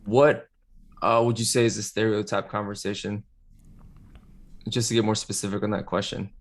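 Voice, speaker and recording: A man's voice: on the low side, little pitch movement, normal speed. A man co-hosting a podcast in casual, reflective talk, tentatively thinking aloud and posing questions. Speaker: podcast co-host.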